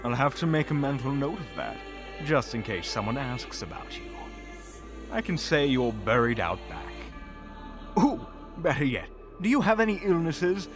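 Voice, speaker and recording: An actor playing a vain, easily flustered evil sorcerer in a fantasy audio drama, pompous and grandiose with dramatic pauses. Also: male voice, medium pitch, very animated, music in the background, lightly acted. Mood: menacing, mocking. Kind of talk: fantasy audio roleplay acting.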